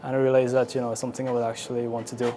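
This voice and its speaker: A man's voice: mid-range voice, completely flat delivery, rapid-fire, warm tone. A man with a Guyanese accent giving informal interview answers as an air traffic controller. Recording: clearly noisy location interview.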